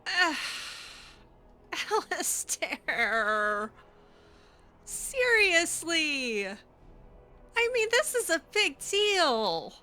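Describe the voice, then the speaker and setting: A woman's voice: very high-pitched, pushed and bright. A woman improvising in-character commentary on a game playthrough, theatrical, sarcastic and easily exasperated. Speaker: gamer roleplaying her character.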